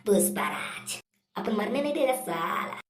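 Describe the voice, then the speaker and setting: A woman's voice: medium pitch, big pitch swings, breathy edge, no echo. Woman doing a cocky stubborn cartoon rebel guy, draggy defiant catchphrases, dry sound. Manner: defiant, drawn-out catchphrase delivery. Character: stubborn, swaggering male rebel parodying a film hero. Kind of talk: comic cartoon film parody.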